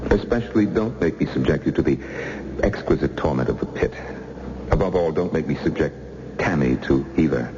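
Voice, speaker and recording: An actor playing a menacing, coldly polite interrogator with a silky, taunting manner in an old radio thriller. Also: male voice, extremely low pitch, expressive, brisk. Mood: calm menace. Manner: silky, mock-courteous, taunting. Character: menacing male interrogator, coldly polite villain threatening torture. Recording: muffled, hissy old radio recording.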